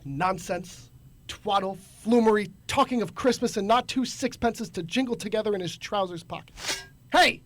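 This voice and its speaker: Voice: mid-range voice, moderate pace, a bit bright, lightly acted. An actor playing a grumpy, miserly old businessman in a radio drama, scornful, curt and barking. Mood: irritated.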